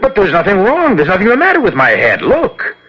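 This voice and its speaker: Puzzled young guy, easygoing, very swoopy pitch, old radio play, muffled sound. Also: huge pitch swings, a little fast, husky, full character voice. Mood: protesting. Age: young adult. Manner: informal, protesting. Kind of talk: old-time radio drama acting. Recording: muffled, faintly noisy old radio recording.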